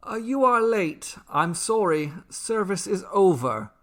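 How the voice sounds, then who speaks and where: A man's voice: high voice, very animated, takes it slowly, voice pushed up, full character voice. A man voicing a kindly, apologetic village priest in a fantasy story, with a polite, formal manner.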